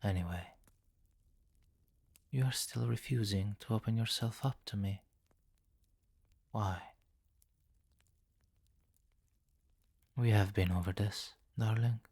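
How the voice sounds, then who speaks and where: A man playing a possessive, commanding vampire king in an intimate ASMR fantasy roleplay, speaking formally and deliberately, with courtly, doting authority. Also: fairly low pitch, creaky, a little mellow. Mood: stern, commanding.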